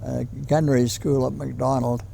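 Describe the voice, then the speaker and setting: Very creaky centenarian Canadian man. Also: mid-range voice, level tone, normal speed, dark tone, a little hiss or hum. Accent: western Canadian. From Calgary, western Canada. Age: 100. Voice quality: gravelly, creaky. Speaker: war veteran interviewee. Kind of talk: personal reminiscence in an interview.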